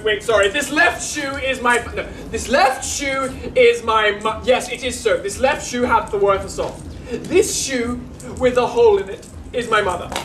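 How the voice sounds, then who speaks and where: Launce, a man playing a wailing clownish servant. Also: very high voice. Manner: clownish, wailing, mock-tearful, shouting bursts. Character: comic weepy male servant clowning with his shoes. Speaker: stage actor. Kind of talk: live theatre performance of classical verse. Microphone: distant room mic. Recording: roomy, from far off, faint hiss.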